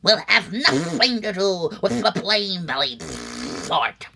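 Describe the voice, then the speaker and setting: A high, sharp cartoon duck, lisping and spluttering with a creaky edge. He is haughty and easily indignant, and his pitch leaps wildly. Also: wildly swooping pitch, takes it slowly, slight background noise, heavily acted. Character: snooty, excitable cartoon duck creature, male, squabbling.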